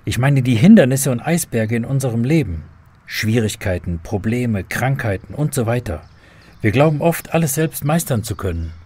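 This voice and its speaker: A male actor plays an earnest man in a children's radio drama. His husky, mid-pitched voice explains things patiently and seriously. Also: very animated, moderate pace, a little mellow.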